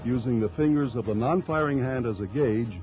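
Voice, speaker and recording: A man reading scripted instructional narration for a training film in a measured, formal, explanatory way. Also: medium pitch, darkish tone, soft background music. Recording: dull lo-fi early 1990s film soundtrack.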